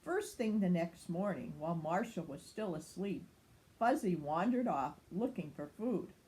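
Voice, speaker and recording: Older woman reading slowly and expressively. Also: on the low side, lively intonation, dark tone, low-quality recording. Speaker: grandmother reading a storybook. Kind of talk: children's story read-aloud.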